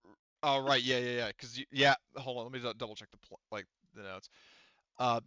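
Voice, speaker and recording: A man running a tabletop game as game master, explaining rules casually in a halting, self-correcting way. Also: mid-range voice, fairly even intonation, sharp tone, no room sound, lo-fi sound.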